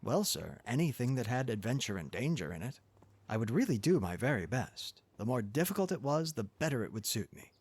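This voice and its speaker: A man's voice: mid-range voice, sing-song, brisk, a little mellow, slightly rough, no room sound. A man voicing an earnest, polite young newspaper reporter who is keen for adventure, in an audiobook story. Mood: eager, pleading.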